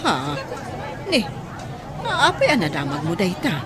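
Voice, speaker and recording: A middle-aged village mother in a radio drama, acted by a woman, guarded and easily flustered. Her voice sits fairly low and swings widely in pitch. A faint crowd murmurs behind her. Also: huge pitch swings, unhurried, noisy background. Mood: puzzled, guarded.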